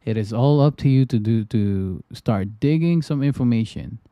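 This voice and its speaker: Mellow-voiced Filipino-born man chatting casually. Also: medium pitch, expressive, moderate pace, no echo. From Philippines. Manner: loose, repetitive, lots of restarts and fillers. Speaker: trading livestreamer. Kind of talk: casual livestream chat.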